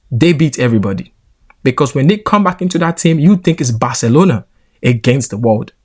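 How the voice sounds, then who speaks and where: A man with a Nigerian accent giving heated football reaction commentary, indignant and emphatic. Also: a little high. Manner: indignant, rising into emphatic rants.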